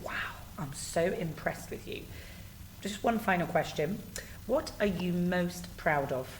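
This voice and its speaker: A woman speaking as a teacher, gently prompting and praising a young child in an interview. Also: on the low side, expressive, a little slow, slight background noise, poor audio.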